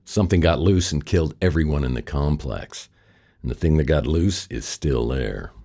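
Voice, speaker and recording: Very deep-voiced, deadpan American noir detective. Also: male voice, moderate pace, creaky, full character voice. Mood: wry, unsettled. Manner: dry, sardonic, deadpan. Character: wry, world-weary male private detective. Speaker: narrator and lead actor. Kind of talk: noir radio drama, first-person narration and dialogue.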